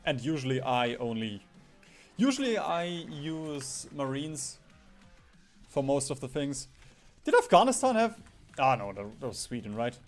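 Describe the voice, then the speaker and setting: A man's voice: medium pitch, sing-song, a little slow, long pauses. A man doing casual gaming stream commentary in a rambling, jokey way, swearing casually. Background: background music.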